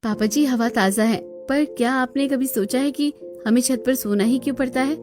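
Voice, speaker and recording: A woman acts as an earnest young daughter-in-law from a cartoon village tale. Her voice is high, and she questions and persuades firmly, with music underneath. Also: moderate pace, natural voice. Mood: questioning. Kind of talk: Hindi cartoon story dialogue.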